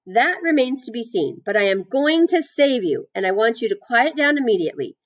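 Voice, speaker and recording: A woman's voice: a little high, sing-song, moderate pace, warm tone, slightly rough, pitched above the performer's own voice. A woman voicing a clever, no-nonsense female spider in a storybook, firm, composed and commanding. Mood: brisk, firm.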